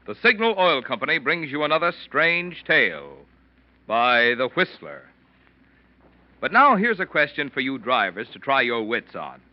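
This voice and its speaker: Male sponsor pitchman, polished, emphatic, very animated, 1940s radio, muffled recording. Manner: polished, emphatic salesman delivery. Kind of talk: 1940s radio advertising announcing. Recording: dull, dry old radio recording.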